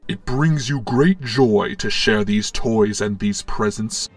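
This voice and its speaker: Sith villain: booming, commanding, pompous, theatrical delivery, low and distant over light music. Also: male voice, takes it slowly, dry recording. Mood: menacing.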